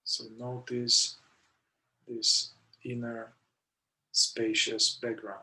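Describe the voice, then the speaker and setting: A man leading a guided meditation in short, deliberate phrases with long pauses between them. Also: mid-range voice, completely flat delivery, pushed and bright, poor audio. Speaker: meditation guide, somatic therapist.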